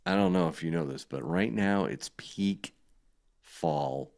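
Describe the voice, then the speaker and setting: A man's voice: sits low, measured pace, gravelly. A man with a Boston accent, co-hosting a live stream in casual chat, laughing often. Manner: laughs often, lively and chatty. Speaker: co-host.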